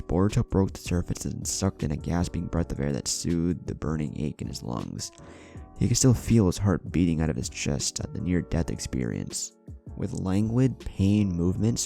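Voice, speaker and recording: A man's voice: sits low, creaky, warm tone. A man reading an adventure story as a dramatic scripted narration. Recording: background music under the voice. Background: music.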